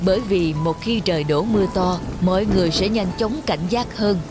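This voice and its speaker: Người nữ lồng tiếng người cha thông thái, nhạc nền rõ. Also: female voice, mid-range voice, natural voice. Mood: patient, instructive. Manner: measured, instructive. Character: patient, wise village father and teacher, gently moralizing. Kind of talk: cartoon dialogue, moral storytelling. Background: music.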